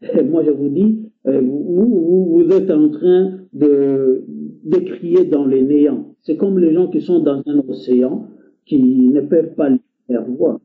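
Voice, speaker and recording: A man's voice: fairly high pitch, slow. Earnest man on a tinny call line. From Democratic Republic of the Congo. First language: Lingala or another Congolese language. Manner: earnest and exhorting, with frequent filler hesitations. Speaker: guest speaker. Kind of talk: impassioned call-in talk. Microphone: internet call.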